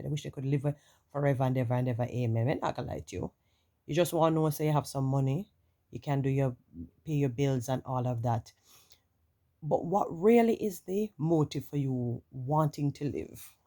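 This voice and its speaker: A woman's voice: extremely low pitch, dark tone. A woman giving an earnest, preachy motivational monologue, lecturing and repeating points for emphasis. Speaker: social media motivational speaker.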